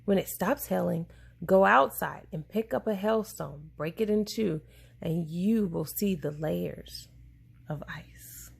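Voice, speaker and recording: Woman, slow pace, creaky voice, children's book read-aloud, deliberate, explaining to a child. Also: fairly low pitch. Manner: deliberate, explaining, pausing to answer questions. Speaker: parent reading a picture book aloud to a child.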